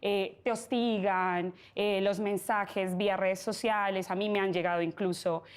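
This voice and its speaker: Here is a woman with a Colombian accent speaking as a guest in a televised debate. Her voice sits at a mid-range pitch, and she makes her case earnestly, stringing her points together at length. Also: fairly even intonation, measured pace, smooth. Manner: earnest, argues in long flowing points. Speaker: pro-migrant activist guest. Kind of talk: debate argument.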